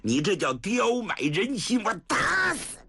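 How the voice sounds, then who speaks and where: A man's voice: high-pitched, huge pitch swings, unhurried, gravelly, bright, forceful tone, husky, voice pushed up, exaggerated voice. Man doing a sneering young general. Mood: contemptuous. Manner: sneering, taunting. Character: arrogant young male general, cold and defiant.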